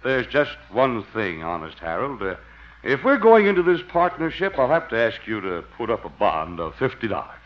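Flattering male con man, 1950s radio comedy: folksy, ingratiating, hugely animated delivery, muffled old recording. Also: mid-range voice, moderate pace.

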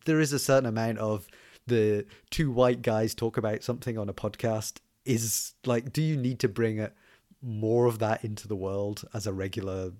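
Low-voiced man with soft Scottish-English accent. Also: fairly even intonation, normal speed. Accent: mixed Scottish and southern English. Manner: hesitant, many restarts and fillers. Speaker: podcast guest. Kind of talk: casual podcast conversation.

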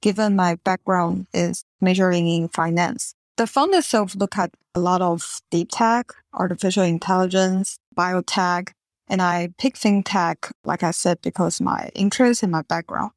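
A woman, answering interview questions in an earnest, explanatory way with slightly halting phrasing over a remote call. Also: on the low side, dry recording, clean studio sound. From Taiwan. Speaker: podcast guest.

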